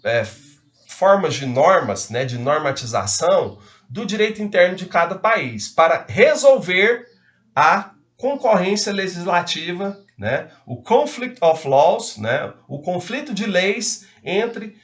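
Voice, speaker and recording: A man's voice: high voice, big pitch swings, slow, a bit bright. A man giving a casual law lecture, hesitant and full of fillers and tag questions.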